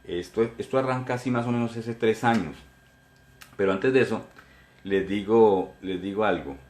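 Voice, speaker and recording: A man's voice: on the low side, normal speed, darkish tone, roomy sound. Earnest Colombian pastor in his forties. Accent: Colombian Spanish. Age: 40s. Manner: earnest, repetitive, addressing listeners directly. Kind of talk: sermon testimony.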